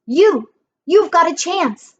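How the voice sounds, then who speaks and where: Woman voicing a high, urgent, bossy girl. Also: lively intonation, slow pace, muffled recording, heavily acted. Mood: urgent, insistent. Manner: urgent, insistent, matter-of-fact. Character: bossy, determined brainy girl in a fantasy story.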